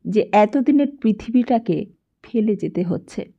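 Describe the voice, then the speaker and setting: Female audiobook narrator, unhurried and expressive literary read, slightly muffled lo-fi sound. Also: mid-range voice, gravelly. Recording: dull, lo-fi sound.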